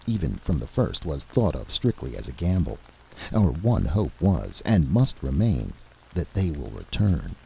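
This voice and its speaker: A man plays a grave, short-tempered general in a story. He speaks in a low, gravelly voice with weighty emphasis, on a dull recording. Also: very animated, measured pace, slight background noise, full character voice. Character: grave military general, stern, blunt and quick to anger.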